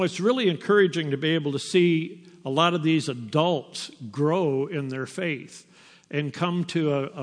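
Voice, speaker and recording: Older man, missionary testimony, slightly high voice, slow storytelling, long church-hall reverb. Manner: slow, unhurried storytelling with pauses. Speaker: missionary teacher. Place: church sanctuary.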